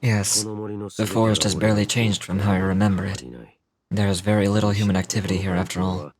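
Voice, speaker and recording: A woman voicing a brooding, grave man from a fantasy game, with a low, grainy voice and terse, solemn delivery. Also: flat delivery, fairly quick, crowd noise. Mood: grave, measured. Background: game music.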